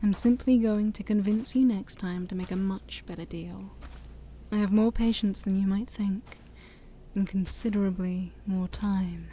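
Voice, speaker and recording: A woman voicing a shy, haughty, ancient demon princess in a soft-spoken ASMR roleplay, breathy and often near a whisper, with formal, theatrical wording and awkward hesitations. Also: medium pitch, fairly even intonation, measured pace, a little hiss or hum, close to an everyday voice, rough recording. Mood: fond, teasing.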